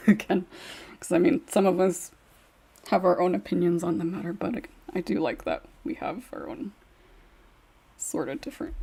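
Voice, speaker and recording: A woman's voice: lowish voice, dark tone, husky. A woman hosting a casual podcast discussion as its moderator.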